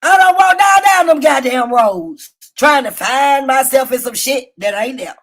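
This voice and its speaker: Loud profane woman streaming. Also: a little slow. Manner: loud, profane, animated storytelling. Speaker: livestream host. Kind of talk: casual livestream commentary. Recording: low-quality phone stream.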